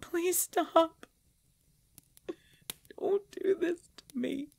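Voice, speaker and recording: A woman playing a proud, pregnant vampire leader in pain, speaking formally and dramatically in an ASMR roleplay with breathy drops toward a whisper. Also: extremely high pitch, a little mellow, a little hiss or hum, full character voice. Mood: pleading, weary. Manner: slow, formal, dramatic, often dropping to whispers.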